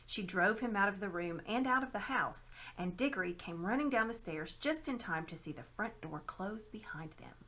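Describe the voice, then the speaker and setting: A woman reading a children's novel aloud in a dramatic, expressive narrating style. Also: mid-range voice, normal speed, hardly any pauses. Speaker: storybook reader.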